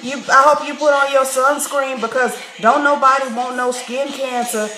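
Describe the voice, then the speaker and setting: A woman's voice: high voice, fairly even intonation, moderate pace, pitched above the performer's own voice, lightly acted. Woman doing a chummy barista, brassy and slangy, overly familiar, raised voice, echoey room.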